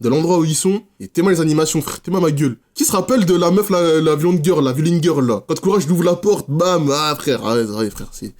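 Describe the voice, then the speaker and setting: A man's voice: fairly high pitch, lively intonation, quick pace, hardly any pauses, darkish tone, soft background music. A man in his twenties reacting as a streamer, chatting informally and slangily, teasing his viewers and repeating himself. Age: 20s. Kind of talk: casual reaction commentary, slangy chat.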